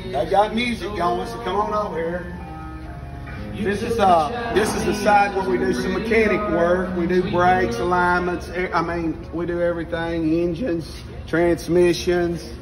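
A man's voice: high voice, flat delivery, unhurried, over loud music. A middle-aged man, a tire shop owner giving a folksy walking tour, recorded by a distant camera in a repair shop. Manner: folksy, encouraging, plain-spoken. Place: echoey auto repair shop. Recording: roomy, distant, noisy shop. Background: shop ambience and vehicles.

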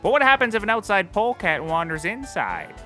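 Eager, gullible podcast detective, male actor: wide-eyed, wildly animated delivery, sharp tone, light music behind. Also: sits high, a little fast, full character voice. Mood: curious, amazed. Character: naive, eager, excitable detective in a comedy. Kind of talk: scripted comedy podcast acting.

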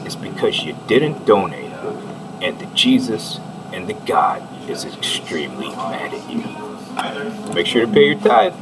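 A middle-aged man giving rambling, halting commentary as he reads out an article, sardonic and mock-preachy. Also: low-pitched, sing-song. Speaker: online commentator. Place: indoor room, seen on webcam. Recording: distant mic under loud music.

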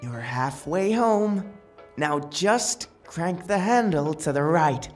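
Woman as fantasy guide, low voice, matter-of-fact, explanatory, comic dub, soft music behind. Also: animated, slow pace. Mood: exasperated. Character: brisk, knowledgeable female guide explaining magic items.

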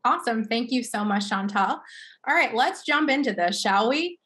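A young woman moderates an online panel with a prepared hosting delivery. Her voice sits a little high for a woman. She speaks from indoors on a video call. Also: lively intonation, moderate pace, fairly bright tone.

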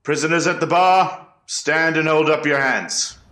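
A colonial court clerk, played by a man, proclaims in a high voice with ceremonial formality. His ritual, declamatory delivery rings in an echoey room, as in a historical trial reenactment. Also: a little slow, sharp tone, a little hiss or hum. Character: formal eighteenth-century court clerk taking jury verdicts.

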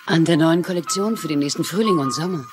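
An actress playing a sharp, sardonic estranged wife with blunt demands, in a German-dubbed mystery drama. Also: female voice, sits low, fast, over music, lightly acted. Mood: cold, sardonic. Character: sharp, scornful estranged wife, hard-nosed businesswoman.

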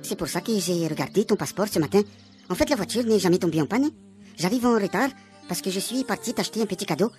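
A woman's voice: mid-range voice, expressive, a little mellow, soft background music, lightly acted. A woman voicing Rakoto, a friendly man with a Réunion Creole accent who hesitates over his words in a radio comedy. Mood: sheepish. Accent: Réunion Creole French. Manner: quick, hesitant with fillers.

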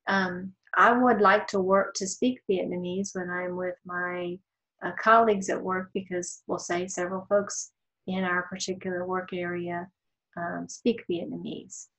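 A woman law instructor narrating a slide lecture in a thoughtful, explanatory way, pausing with ums and restarting her sentences. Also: fairly low pitch, steady tone, a bit bright, poor audio.

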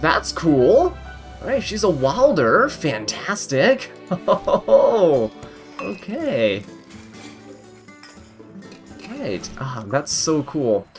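A man's voice: a little high, sing-song, extremely slow, pauses often. A man giving casual, upbeat commentary on a video game, reacting aloud as he plays. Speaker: gaming content creator. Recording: game music behind voice. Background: video game music.

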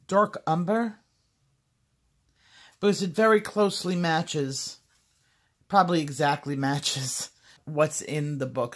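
Slightly hoarse low-voiced woman. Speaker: coloring tutorial host. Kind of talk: casual step-by-step tutorial narration.

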